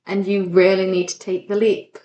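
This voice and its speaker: A woman's voice: mid-range voice, flat delivery, moderate pace, mellow. Echoey woman chatting casually on a podcast. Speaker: podcast co-host. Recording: echoey, roomy sound.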